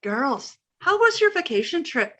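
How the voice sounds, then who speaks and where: A bright, high, sing-song older Irish landlady, played by a woman. She chatters coyly and theatrically, swooping up and down as she gossips in old-time radio comedy fashion. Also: big pitch swings, a little slow, full character voice. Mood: chatty. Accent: put-on Irish.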